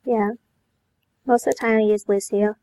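A woman's voice: medium pitch, fairly even intonation, normal speed, warm tone. A woman chatting casually as a podcast guest, giving brief, understated answers. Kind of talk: casual chat.

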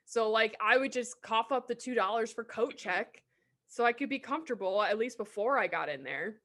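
A young woman co-hosting a podcast, chatting in a blunt, animated way and swearing freely. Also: fairly high pitch, normal speed. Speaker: podcast co-host. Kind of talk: casual chat.

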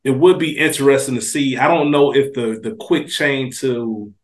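A man's voice: medium pitch, moderate pace. A man co-hosting a podcast in casual discussion, colloquial and thinking aloud in looping phrases. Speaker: podcast co-host.

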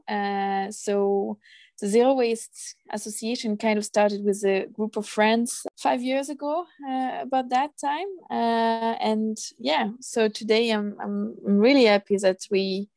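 A woman's voice: fairly high pitch, darkish tone, dry recording. Woman, dry sound. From France. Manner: thoughtful, pausing to find words. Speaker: guest, zero waste association founder. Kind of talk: podcast interview.

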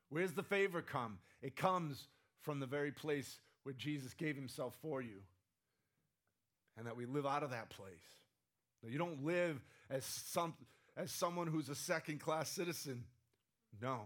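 Guy preaching, voice kinda strained and cracking, a bit higher pitched, keeps going "right?". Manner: conversational, repeats "right?" to the room. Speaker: pastor. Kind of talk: sermon.

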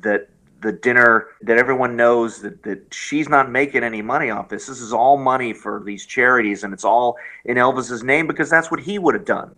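Bright-voiced man, halting conversational delivery. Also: mid-range voice, low-quality recording. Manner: halting, repeats phrases. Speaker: show co-host. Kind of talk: casual interview conversation.

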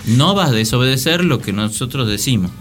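A man's voice: mid-range voice, expressive, fairly quick. Argentine man chatting over music. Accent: Argentine Spanish. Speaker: radio show panelist. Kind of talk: casual humorous chat. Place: radio studio with music behind.

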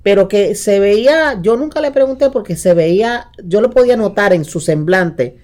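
A woman's voice: medium pitch, expressive, moderate pace, a little mellow. A Puerto Rican woman co-hosting a podcast, telling stories casually and mixing in English phrases. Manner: storytelling, mixes in English phrases. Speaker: podcast co-host. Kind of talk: casual chat reviewing TV shows.

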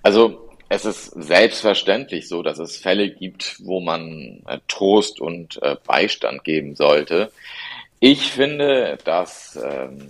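A man being interviewed as a family lawyer on a podcast, speaking in a measured, earnest way with frequent ums and ahs. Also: low voice, lively intonation. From born in Italy, raised in the Rhineland.

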